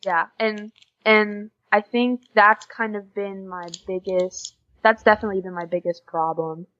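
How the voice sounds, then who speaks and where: A woman's voice: mid-range voice, steady tone, measured pace, fairly bright tone. Chatty young American woman, slightly dull recording. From USA. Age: young adult. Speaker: podcast guest, exchange student. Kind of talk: casual interview chat.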